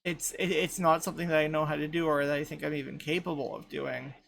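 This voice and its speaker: A woman in her thirties in casual group chat, light voice without chest resonance, talking haltingly with many restarts. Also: sits low, level tone, vocal fry. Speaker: podcast panelist.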